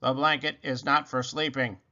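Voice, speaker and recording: A man voicing a calm, literal-minded robot in a children's storybook, speaking in a stiff, measured, polite way. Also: medium pitch, muffled recording, lightly acted. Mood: calm, reassuring. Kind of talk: children's storybook read-aloud.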